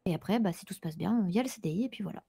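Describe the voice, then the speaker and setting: A mellow, slightly husky woman's voice acting out an orderly garage boss in improvised online roleplay. She explains things step by step, with hesitant phrasing. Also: mid-range voice, animated, very fast. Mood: calm, explanatory. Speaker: manager recruiting a new employee.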